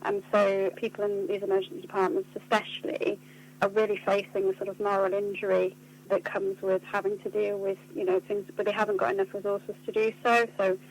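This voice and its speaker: Woman doing a radio interview, pretty level pitch, earnest and articulate, bit of hum on the line. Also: level tone, fairly quick, low-quality recording. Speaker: charity communications officer being interviewed. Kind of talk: spoken radio interview answers.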